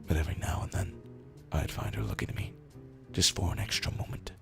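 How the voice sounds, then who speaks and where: A man with a hoarse, raspy, rumbly voice, reading stories aloud in an unhurried, drawn-out way with pauses. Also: very animated, over music. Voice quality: raspy, rumbly, gravelly fry. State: hoarse from days of screaming. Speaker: narrator reading internet posts. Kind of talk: slow, low dramatic reading of short stories.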